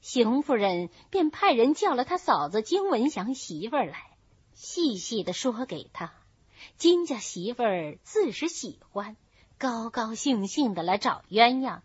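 A woman reading a classical novel aloud as an audiobook, with measured, expressive storytelling. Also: a little high, husky, no echo. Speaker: audiobook reader. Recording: dull lo-fi recording.

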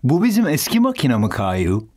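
A man's voice: medium pitch, huge pitch swings, brisk, a little mellow. A man voicing a cheerful, helpful father in a children's cartoon dub, upbeat and playful.

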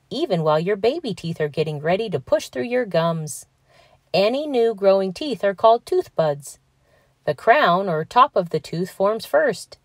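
A woman narrating a children's picture book aloud in a scripted read-aloud style, with clearly enunciated words and expressive emphasis. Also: mid-range voice, dry recording, clean studio sound.

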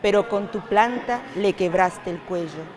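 A woman reciting devotional verses in a solemn, measured manner, as the leader of a prayer. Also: medium pitch. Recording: long reverberant hall, faint noise.

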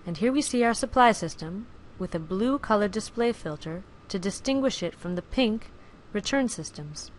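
A woman giving clear, even, scripted narration for a software screencast tutorial, recorded as a studio voice-over. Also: mid-range voice, moderate pace, slight background noise. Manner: clear, even instructional delivery.

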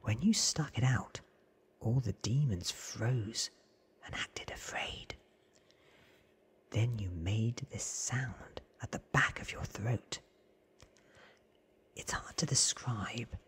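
A man voicing an earnest, awed young man from a storybook in a hushed, breathy ASMR whisper. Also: mid-range voice, full character voice. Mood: awed, eerie. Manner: hushed, drawn-out, earnest telling.

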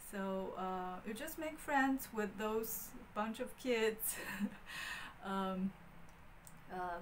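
Slow, hesitant woman with a Chinese accent. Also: medium pitch, fairly bright tone, slight background noise, rough recording. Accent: Mandarin Chinese. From Beijing, China. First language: Mandarin Chinese. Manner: hesitant, many fillers and restarts. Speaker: vlogger. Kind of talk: casual talk to camera. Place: small room indoors. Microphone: wired handheld mic.

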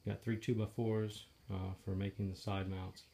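A man casually narrating, step by step, the assembly of a trailer he is building, talking in a plain, matter-of-fact way with some halting pauses, filmed on a handheld phone in a garage. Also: on the low side, little pitch movement, warm tone, slight background noise. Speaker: DIY video maker. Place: garage or shop and outdoors by a trailer. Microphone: handheld phone camera.

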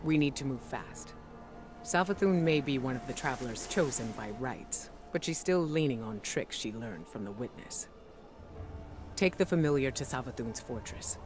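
A resolute video game commander, lays out a mission with earnest urgency. The delivery is deliberate and determined, over prominent music. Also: female voice, very deep voice, animated. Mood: urgent resolve.

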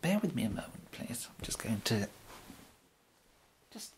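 A young man with an English accent softly whispering an ASMR roleplay as a polite, hesitant gentleman tailor. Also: medium pitch, big pitch swings, a little slow. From England. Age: young adult. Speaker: ASMR performer playing a tailor.

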